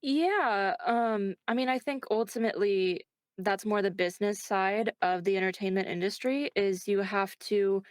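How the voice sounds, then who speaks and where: A woman's voice: medium pitch, moderate pace, no echo, clean studio sound. A woman chatting casually as an interview guest, informal and reflective, often checking in as she explains. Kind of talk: casual chat, answering interview questions.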